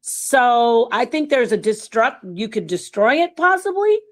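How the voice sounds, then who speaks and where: A woman's voice: fairly high pitch, very animated, unhurried. A middle-aged woman hosting a casual panel discussion, thoughtful and hesitant, often restarting her sentences. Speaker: panel host.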